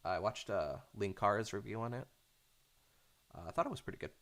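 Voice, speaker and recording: A man chatting casually in a halting, hesitant way, rambling off on tangents. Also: on the low side, expressive, a bit bright. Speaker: fandub creator talking off the cuff.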